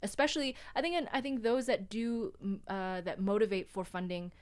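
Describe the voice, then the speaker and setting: A woman guest on a radio show, calmly explaining business funding in a relaxed, explanatory way and spelling things out slowly. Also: medium pitch. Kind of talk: radio studio chat.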